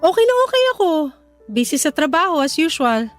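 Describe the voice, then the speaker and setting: A woman's voice: high-pitched, very animated, a little fast. An actress playing a guarded young woman facing her old flame in a Filipino radio drama. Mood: casual, teasing. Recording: music bed under the voice.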